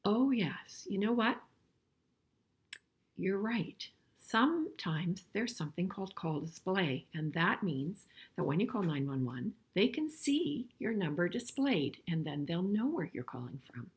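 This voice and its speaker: Older woman with animated storytime delivery. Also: lowish voice, a little slow, husky. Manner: expressive, asking listeners questions as she goes. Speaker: grandmother reading a picture book to children. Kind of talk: children's storybook read-aloud with conversational asides and questions.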